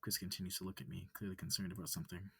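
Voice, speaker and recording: A man softly narrating a visual novel in a cozy, halting, often breathy reading. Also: low voice, little pitch movement, dry recording. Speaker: reader.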